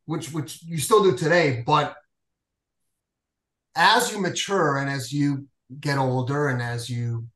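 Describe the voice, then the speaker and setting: A man's voice: fairly high pitch, slow, a bit bright, echoey room. A man in his forties from Venezuela, a payments executive talking casually on a video call with many filler uhs. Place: home room, indoors. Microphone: video call webcam.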